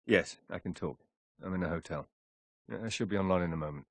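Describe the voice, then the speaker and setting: A man's voice: low-pitched, brisk, no room sound. A man playing a suave secret agent in a video game cutscene, with clipped, cool, understated delivery. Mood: cool, alert.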